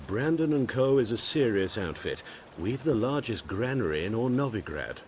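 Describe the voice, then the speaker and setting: An actor playing an oily, shifty grain merchant in a video game, speaking in a formal, smug manner. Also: male voice, mid-range voice, sing-song, moderate pace, darkish tone, a little hiss or hum, lightly acted. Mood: smooth, haughty.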